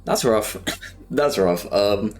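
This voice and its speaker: Man, Southern English accent, lower pitch, self-deprecating, casual filler-heavy talk. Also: animated, takes it slowly, a little mellow, faint music behind. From Southern England. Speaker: streamer. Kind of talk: casual chat while painting miniatures.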